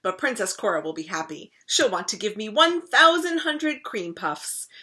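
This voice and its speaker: A woman voicing a grumpy crocodile disguised as a princess in a storybook, yelling loudly and defiantly. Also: medium pitch, sing-song, moderate pace. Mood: gleeful.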